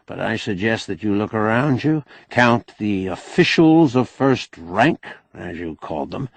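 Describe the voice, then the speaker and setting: A man's voice: on the low side, no echo, full character voice. A man voicing a blunt, folksy old man who bargains shrewdly and sardonically in an audiobook novel. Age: older. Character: crusty, shrewd old man, bossy, wry negotiator.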